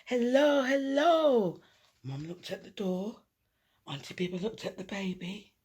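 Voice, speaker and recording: A woman reading a children's picture book aloud expressively, dramatic, with hushed asides and emphasis on repeated words. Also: fairly low pitch, normal speed, breathy edge. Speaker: storyteller.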